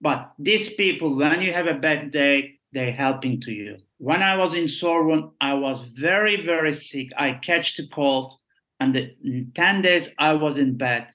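Turkish man, middle-aged, broken English, earnest and pleading, bright, forceful voice. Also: a little high, a little slow. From Turkey. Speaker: guest, former cruise ship staff. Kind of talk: casual interview chat.

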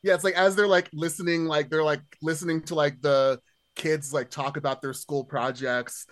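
A man with a slightly high voice chats about a movie in a dry, echo-free recording. He is amused and casual, and his sentences come haltingly, padded with filler "likes". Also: steady tone, fairly bright tone. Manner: halting, amused, heavy use of "like". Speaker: podcast panelist discussing a film. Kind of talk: casual chat.

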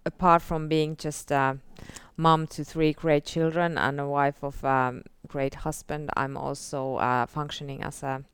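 A woman in her forties from northern Finland, a Finnish speaker, telling her life story as an interview guest, softly and with many fillers. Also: low-pitched, flat delivery, unhurried, few pauses, clean tone, no room sound. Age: 40s. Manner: soft-spoken, many fillers like "like" and "uh". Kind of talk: casual testimony interview.

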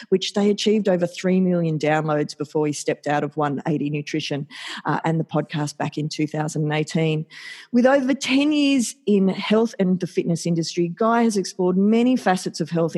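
A woman hosting an interview, introducing her guest enthusiastically and asking questions. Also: fairly low pitch. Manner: enthusiastic, lively, playful. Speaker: podcast host.

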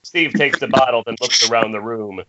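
A man's voice: mid-range voice, normal speed, very noisy. A man reading screenplay stage directions as scripted narration over a dull internet call.

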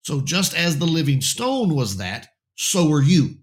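A man teaching a Bible passage verse by verse on air, slightly hoarse and raspy, with halting restarts and frequent "okay?" checks. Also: on the high side, sing-song. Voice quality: raspy voice in the morning. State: slightly hoarse, clearing throat.

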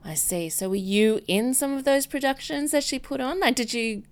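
A woman hosting an arts interview podcast in a conversational, halting way, rephrasing her questions as she goes. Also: high-pitched.

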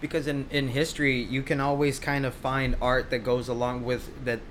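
Easygoing male host, mid-pitched voice, faint noise under it. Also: level tone, fairly bright tone. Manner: easygoing, quick to affirm. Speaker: interviewer. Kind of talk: casual interview.

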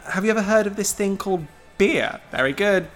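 A man's voice: high voice, brisk, fairly bright tone, faint music behind. A man playing a loyal but easily wounded young friend in a science fiction audio drama, with a dry, blunt way of talking. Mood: wry, supportive. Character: loyal, teasing young male friend, jealous and bitter.

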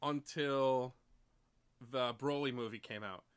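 A man's voice: medium pitch, takes it slowly, smooth. A man in his late forties hosting a casual livestream chat, rambling and repeating himself, laughing often. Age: 40s. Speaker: stream host.

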